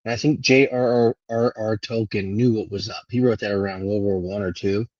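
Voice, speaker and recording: A husky man with a fairly low voice hosts a casual livestream. He rambles without a script, halting and letting thoughts trail away. The sound is close, dry and slightly dull. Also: fairly even intonation, darkish tone, dry recording. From Venezuela. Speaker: live stream host. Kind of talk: casual unscripted livestream monologue.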